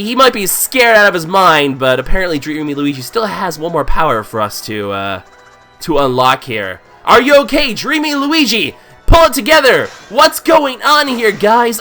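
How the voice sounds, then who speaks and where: A man with a cold, hoarse and nasal, giving casual, joking commentary as he plays a game. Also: a little high, bright, forceful tone. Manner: playful, jokey, thinks aloud. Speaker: let's play host.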